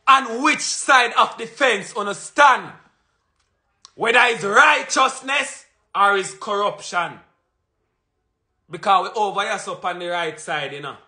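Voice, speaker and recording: A man's voice: sits high, sharp tone. A man in his thirties from Jamaica with a Jamaican accent, delivering an emphatic, confrontational monologue to his phone camera at home. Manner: emphatic and confrontational, punches out lists and repeats phrases. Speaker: vlogger. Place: small indoor room at home. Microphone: phone camera at arm's length.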